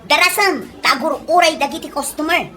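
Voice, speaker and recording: An actress playing a bossy young restaurant worker in a radio comedy drama, curt and commanding. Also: female voice, high-pitched, brisk, bright, forceful tone, a little hiss or hum. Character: bossy young female restaurant worker ordering others around.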